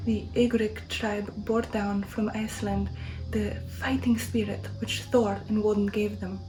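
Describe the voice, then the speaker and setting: Woman acting proud old vampire count, gothic storybook, half-whispered, stately declamation, mellow tone, faint music. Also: medium pitch, lightly acted, poor audio. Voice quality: soft, breathy, half-whispered. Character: proud old male vampire count boasting of warlike ancestry. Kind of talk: ASMR audiobook reading of a gothic novel.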